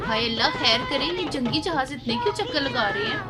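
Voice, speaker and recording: A woman's voice: high-pitched, big pitch swings, a little fast. A woman playing a fretful neighbourhood auntie and mother in a radio drama. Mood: anxious. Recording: voice under loud music.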